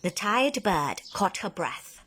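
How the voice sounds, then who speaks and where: A woman narrating a children's bedtime story in a deliberate, dramatic manner, stretching words for emphasis. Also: medium pitch, big pitch swings, bright, forceful tone, slight background noise. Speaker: speech and drama teacher reading a story.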